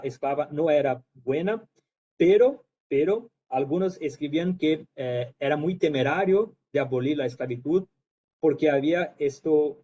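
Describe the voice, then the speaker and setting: Man, Brazilian Portuguese accent, academic talk, hesitant with restarts, warm low timbre, dull lo-fi sound. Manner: hesitant, many fillers and restarts. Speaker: guest lecturer. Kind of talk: academic presentation.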